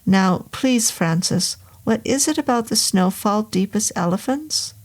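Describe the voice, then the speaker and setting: A woman's voice: medium pitch, animated, measured pace. A woman voicing a formal, polite middle-aged male innkeeper with a foreign accent and stiff phrasing. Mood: earnest.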